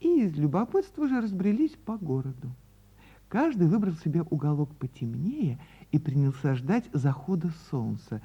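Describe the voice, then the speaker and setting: A woman reading a literary fairy tale as a theatrical radio storyteller, with dramatic pauses and drawn-out words. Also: deep voice, very animated, slightly rough, lo-fi sound.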